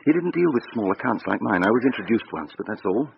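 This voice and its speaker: A defensive man in a radio drama, evasive and halting. His voice is very low, creaky and rough, on a dull recording. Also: big pitch swings, slight background noise. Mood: guarded, rattled. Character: guarded, defensive man questioned by police acquaintance.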